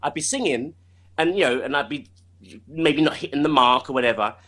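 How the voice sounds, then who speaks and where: A man's voice: fairly high pitch, fairly quick, a bit bright. A man talking casually as an interview guest, rambling and repeating himself, laughing often. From United Kingdom.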